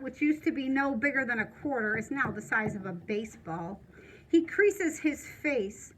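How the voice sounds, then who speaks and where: A woman teacher reading a story aloud expressively, pausing to explain things to young listeners. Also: high-pitched, bright, forceful tone, low-quality recording.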